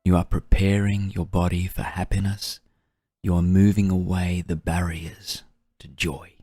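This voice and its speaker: Deep, slow, breathy male meditation guide. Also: little pitch movement, no echo, clean studio sound. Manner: soothing, gentle, unhurried delivery with long pauses.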